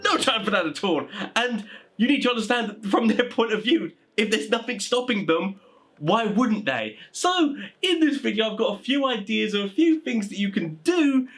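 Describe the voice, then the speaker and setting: A man hosting a casual explainer for tabletop game masters, talking in an animated, rambling, informal way. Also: sits high, normal speed, faint music behind. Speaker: video host giving tabletop game master advice.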